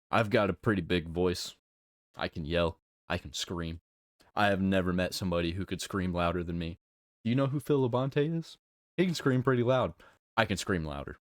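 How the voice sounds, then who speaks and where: A man with a fairly low voice hosts a casual reaction video. He talks bluntly and emphatically, breaking his opinions into short, halting phrases. The sound is dry and close, with little room in it. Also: no room sound.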